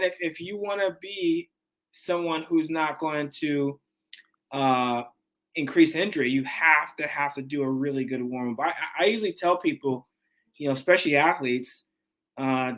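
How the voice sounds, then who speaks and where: Fitness trainer guest, man in his 40s, slightly high voice, animated and loose, keeps restarting. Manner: animated, restarts often, says man and dude. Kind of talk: casual interview chat.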